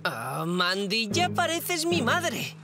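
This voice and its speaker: Cheeky, animated young boy. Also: on the high side, takes it slowly, loud music behind the voice, exaggerated voice. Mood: excited, scheming. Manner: eager, teasing. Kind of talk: dubbed children's cartoon acting.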